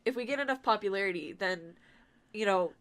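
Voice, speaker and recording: A woman's voice: medium pitch, fairly bright tone. Talkative woman in a casual interview. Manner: talkative, quick to laugh. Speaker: podcast guest from a fan dubbing group.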